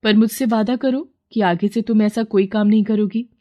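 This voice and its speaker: A woman's voice: medium pitch, fairly even intonation, moderate pace, lo-fi sound, voice pushed up, natural voice. A woman voicing a humble, kind, dutiful young daughter-in-law in a moral story. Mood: gentle, forgiving.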